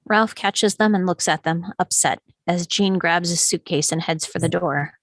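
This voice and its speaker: A woman's voice: on the low side, moderate pace, no room sound. A woman reading stage directions as the narrator of a screenplay table read.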